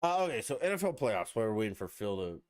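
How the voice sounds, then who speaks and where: Casting note: podcast host, low voice, lively swooping delivery, profane and rambling. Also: male voice, quick pace. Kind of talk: casual solo podcast chat.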